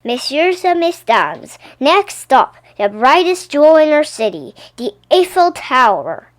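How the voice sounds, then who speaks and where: A woman's voice: sits high, very animated, a little slow. A woman voicing a welcoming, showy male boatman making grand announcements in a storybook. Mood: grand announcing.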